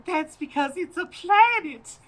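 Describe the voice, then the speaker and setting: A man's voice: very high-pitched, lively intonation, unhurried, fairly bright tone, far from the mic, pitched above the performer's own voice, full character voice. A man voicing a dramatic, indignant farmer's wife in a comedic stage radio play. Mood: fed up. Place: outdoors, parking lot stage.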